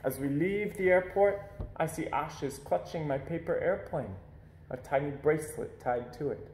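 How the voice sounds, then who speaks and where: A man reading a children's picture book aloud in an expressive storytelling style. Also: fairly high pitch, moderate pace, reverberant, slight background noise.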